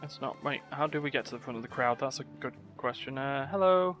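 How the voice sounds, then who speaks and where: A man's voice: mid-range voice, fairly quick, a bit bright. A man streaming a game, giving casual commentary full of muttered asides and wry exclamations. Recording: game music behind.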